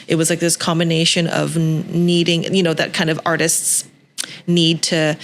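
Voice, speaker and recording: A woman in her thirties who grew up in Canada, speaking as a podcast guest in a reflective way, with many fillers and restarts. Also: on the low side. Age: 30s. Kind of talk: podcast interview. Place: Hong Kong.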